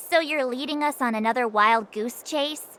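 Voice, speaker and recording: An actress playing an inquisitive young girl adventurer in a video game, with a lively, pointed delivery. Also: high-pitched, a little slow, a little hiss or hum. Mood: exasperated, curious. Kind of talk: English video game dub acting. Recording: music under dialogue. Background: background game music.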